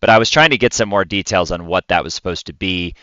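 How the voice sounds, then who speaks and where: A man's voice: sits low, quick pace, bright, forceful tone, clear, resonant tone, no echo. A man chatting casually as a co-host on a podcast, recorded with a slightly dull, lo-fi sound. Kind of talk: casual chat.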